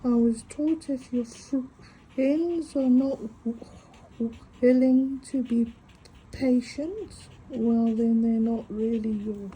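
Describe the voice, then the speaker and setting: A woman's voice: fairly high pitch, slow pace, mellow, slightly rough, poor audio. A woman with a stutter, chatting casually to camera while she draws and recorded on a phone. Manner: reflective, talks to herself while drawing. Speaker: tangle art vlogger.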